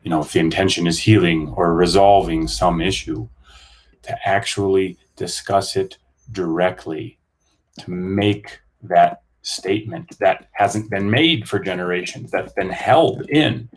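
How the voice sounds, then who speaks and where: A man explaining his experience in an earnest, measured way. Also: low voice. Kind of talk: reflective conversation.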